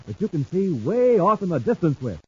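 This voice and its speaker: High-pitched, animated 1950s radio announcer man. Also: brisk, slightly rough. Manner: excited, emphatic, punchy. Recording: dull 1950s radio broadcast recording.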